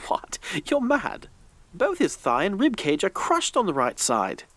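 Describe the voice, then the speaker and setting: An actor playing a sardonic, jumpy grave robber in an audio drama, swinging from muttered asides to startled exclamations. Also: male voice, high voice, wildly swooping pitch, a little slow, fairly bright tone, dry recording, heavily acted.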